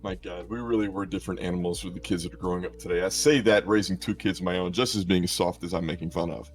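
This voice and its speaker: Low-voiced man telling stories. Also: fairly even intonation, fast, a little hiss or hum. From South Arkansas, USA. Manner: storytelling, self-mocking, joking. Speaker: esports caster. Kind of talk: casual banter on a stream.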